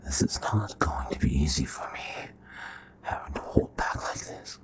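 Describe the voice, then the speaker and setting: A man playing a charming, possessive royal vampire in an intimate ASMR roleplay, with a breathy, hushed, courtly and menacing delivery. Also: normal speed, close to an everyday voice. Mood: hungry, restrained. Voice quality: breathy whispering, very low voice.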